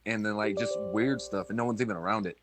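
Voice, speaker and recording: A man co-hosting a podcast, talking in a halting way with many fillers. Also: on the low side, fairly even intonation, music in the background. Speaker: podcast co-host. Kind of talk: casual podcast chat.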